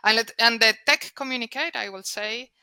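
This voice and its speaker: A woman with a Colombian Spanish accent and a bright, crisp voice, speaking English as a second language. She talks with emphasis and repeats her words as she restarts. The sound is close and dry. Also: fairly high pitch, normal speed, no room sound. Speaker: guest, data and analytics leader. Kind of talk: conversational interview.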